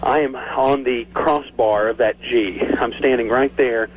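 A man's voice: mid-range voice, fairly even intonation, unhurried, slight background noise. A young man calling in live to a radio show, nervously describing where he's sneaking around. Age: young adult. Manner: nervous, chatty, reporting what he sees. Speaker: radio station intern on a stunt. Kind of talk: live radio call-in from a stadium. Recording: dull lo-fi phone call on radio.

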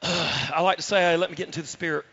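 A man guesting on a talk show, hoarse from losing his voice, speaking haltingly with many fillers. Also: fairly high pitch, steady tone, a crowd in the background. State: hoarse from lost voice. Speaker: studio guest. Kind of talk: casual talk show chat. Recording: dull lo-fi sound.

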